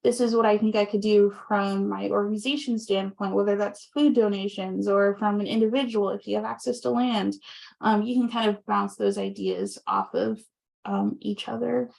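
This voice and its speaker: A woman guest speaker on a video call, soft-spoken with frequent ums, slightly hoarse from talking long. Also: medium pitch, moderate pace, rough recording. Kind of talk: presentation wrap-up and casual chat on a video call.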